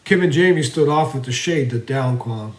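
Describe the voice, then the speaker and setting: A man narrating a fantasy story theatrically, swinging between emphatic and hushed delivery. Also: mid-range voice, moderate pace. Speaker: storyteller reading own fantasy series. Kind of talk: dramatic fantasy audiobook narration. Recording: roomy, echoing voice recording.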